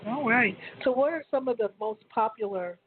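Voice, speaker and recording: A woman's voice: a little high, moderate pace. A woman hosting an interview, asking casual questions with frequent hesitant restarts. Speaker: show host interviewing a business owner. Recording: tinny phone-like line with faint hiss.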